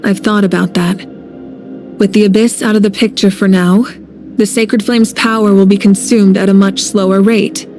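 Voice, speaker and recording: Woman playing game fire-goddess leader, calm and steady, earnest, not much pitch swing, music under it. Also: normal speed, close to an everyday voice. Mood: calm conviction. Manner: earnest, composed, resolute. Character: resolute warrior archon woman, calm, self-sacrificing leader. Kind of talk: video game cutscene acting.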